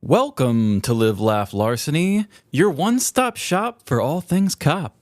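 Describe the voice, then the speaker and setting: A man's voice: on the high side, very animated, a little slow, no echo. Casual male podcast co-host, dry close sound. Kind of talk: casual comedic chat.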